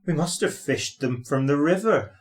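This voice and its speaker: A man's voice: fairly high pitch, animated, normal speed, close to an everyday voice. A man voicing a firm, plain-spoken engine driver with a serious, cautioning manner in a storybook. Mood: concerned.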